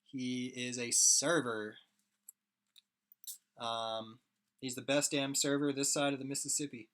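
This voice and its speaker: A hoarse young man rambling casually to his viewers while he draws on a live stream. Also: mid-range voice, flat delivery, measured pace, fairly bright tone. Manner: rambling, chatty, talks to chat viewers. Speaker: streaming artist.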